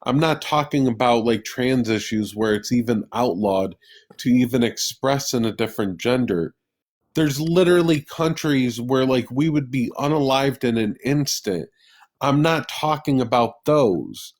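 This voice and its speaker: A man's voice: mid-range voice, unhurried. A man hosting a livestream, arguing hotly with callers and swearing in exasperation. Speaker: livestream host. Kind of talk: heated livestream debate.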